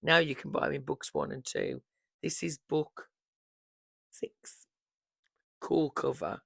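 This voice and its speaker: A woman in her fifties, hoarse from losing her voice, chatting casually and rambling about books on her vlog into a microphone on a holder. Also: low-pitched, animated, slow, no echo, clean studio sound. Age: 50s. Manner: chatty, rambling, warm fond asides. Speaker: book vlogger.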